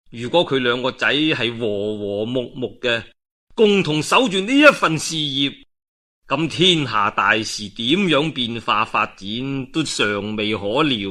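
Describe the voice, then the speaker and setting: A male storyteller playing a calculating military strategist who reasons emphatically with his lord in a measured way. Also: medium pitch, pushed and bright.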